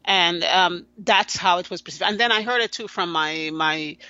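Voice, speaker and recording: A woman's voice: fairly low pitch, sharp tone, dry recording, highs cut off. A woman from Guyana, a podcast guest, talking earnestly and often restarting her sentences. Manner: earnest, halting with many restarts. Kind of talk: conversational interview.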